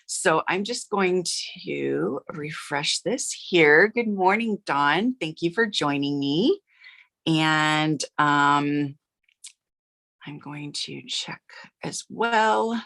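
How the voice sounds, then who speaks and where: Low-voiced chatty woman, slightly rough. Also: lively intonation, drawn-out words, a bit bright. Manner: chatty, upbeat, many filler "uh"s. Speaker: host of a live card-making stream. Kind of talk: casual livestream chat.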